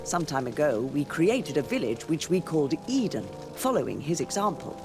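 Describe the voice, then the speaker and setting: A stately church matriarch speaks in a low female register with lively swings of pitch. She is formal, pious and persuasive, a solemn game character with music clearly behind her. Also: normal speed. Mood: solemn, explanatory. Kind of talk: scripted video game dialogue.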